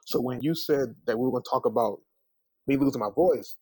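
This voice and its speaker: A man's voice: mid-range voice, fairly quick, breathy edge, no echo. A man being interviewed as a singer, telling anecdotes casually and acting out the dialogue with plenty of conversational asides. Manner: animated anecdotes with reenacted dialogue, peppered with "you know" and "man".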